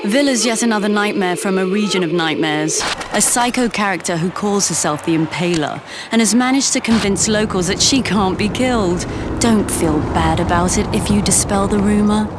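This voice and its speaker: An actress playing a sharp-tongued female intelligence officer who briefs a pilot in a crisp, sardonic manner. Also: medium pitch, moderate pace, very noisy, lightly acted. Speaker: intelligence briefer in a game cutscene. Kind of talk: scripted game cutscene briefing. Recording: loud music behind the voice.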